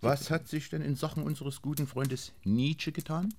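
An actor playing a prying, self-important, knowing master in a radio play, speaking with lecturing self-assurance. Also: male voice, fairly high pitch, fairly even intonation, moderate pace, darkish tone. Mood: prying, mocking. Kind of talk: German radio play dialogue.

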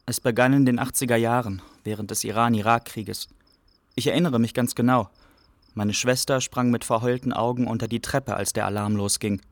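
An actor playing a man who soberly recalls a wartime childhood, in measured radio drama narration. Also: mid-range voice, fairly even intonation, dry recording, natural voice. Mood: sober, remembering.

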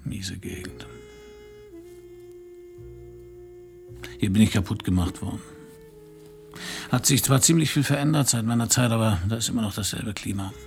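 An actor playing a cynical, middle-aged private detective in a German radio crime drama, wry and laconic. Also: male voice, fairly low pitch, fairly quick, faint music behind. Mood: bitter. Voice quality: husky, gravelly.

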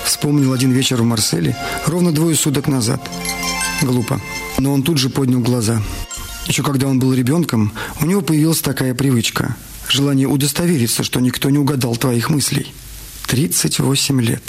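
A man's voice: mid-range voice, brisk, gravelly, natural voice. A man narrating a literary detective story as part of an audio drama. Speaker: narrator in a radio play. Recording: music under the voice. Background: music.

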